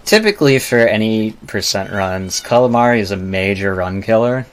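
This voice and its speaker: A man's voice: on the low side, animated, normal speed. A man giving casual, explanatory live commentary as he streams a video game speedrun. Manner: explanatory, self-deprecating. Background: faint game music.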